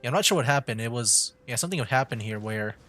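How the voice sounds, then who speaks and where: A man's voice: medium pitch, expressive, quick pace, fairly bright tone, soft background music. A man giving casual, rambling commentary on a game livestream, often repeating himself as he chats. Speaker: livestreaming VTuber gamer.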